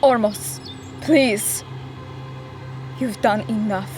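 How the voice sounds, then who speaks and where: A woman's voice: mid-range voice, drawn-out words, music in the background. An actress playing a protective, upset mother with an emotional, pleading delivery in an audio drama. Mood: pleading, sad. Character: distressed, protective mother standing up to husband.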